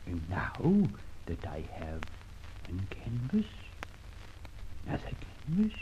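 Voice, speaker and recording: An actor playing a fussy, courteous art expert in an old radio drama, speaking in a hesitant, stammering, genteel way. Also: male voice, deep voice, huge pitch swings, slow pace, a little hiss or hum, full character voice. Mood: pondering. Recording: muffled old radio recording.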